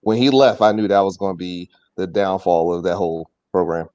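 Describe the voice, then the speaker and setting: A man's voice: low-pitched, a little fast, darkish tone, no echo, dull audio. A man hosting a casual sports conversation and putting questions to his guests. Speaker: podcast host.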